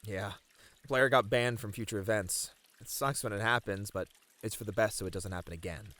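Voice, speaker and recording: A man's voice: lowish voice, a little fast, a bit bright, lightly acted. A man playing a friendly, slightly flustered gaming tournament manager in an ASMR roleplay, chatting casually with some hesitation. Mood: animated, candid. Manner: casual, chatty, hesitant filler-laden banter.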